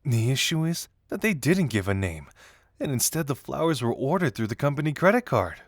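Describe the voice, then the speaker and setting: Man acting a playful detective, very lively pitch. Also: a little fast, dry recording, studio quality. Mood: amused, recapping. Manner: teasing, amused, conversational. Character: playful, teasing young male detective, amused confidant. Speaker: voice actor in an audio roleplay. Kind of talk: intimate scripted audio roleplay addressed to the listener.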